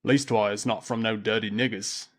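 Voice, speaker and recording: A man voicing a gruff, blunt man. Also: lowish voice, fairly even intonation, moderate pace, a bit bright. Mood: defiant.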